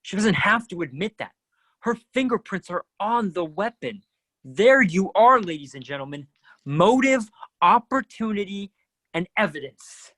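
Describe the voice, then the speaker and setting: A man playing a forceful, accusing district attorney in a radio play, theatrical and emphatic. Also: sits high, sing-song, measured pace, no echo, heavily acted, rough recording. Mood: emphatic, damning. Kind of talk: radio play courtroom acting.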